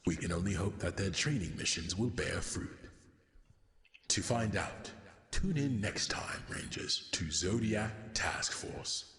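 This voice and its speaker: A man plays the grand narrator of an adventure serial. His voice is very deep and theatrical, ringing in a long hall-like reverb. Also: expressive, slow pace, a bit bright, heavily acted. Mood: dramatic. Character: grand, dramatic serial announcer.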